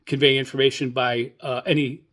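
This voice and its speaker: An older man with a mid-range voice talks as the solo host of a hobby radio podcast. He gives informal commentary on news, moving haltingly and rambling from point to point. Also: mid-range voice, flat delivery, fairly bright tone. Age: older, 60s or above. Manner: hesitant, halting, rambling delivery.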